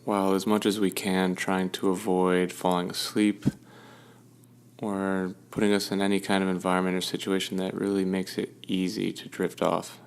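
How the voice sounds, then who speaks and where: A man leading a guided meditation with gentle, soothing instruction and unhurried pauses. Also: deep voice, completely flat delivery, creaky, smooth.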